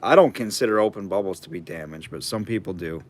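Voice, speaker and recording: Hoarse man calling a live auction. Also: low-pitched, quick pace, a little hiss or hum. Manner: chatty, rambling asides between bid calls. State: hoarse, losing his voice.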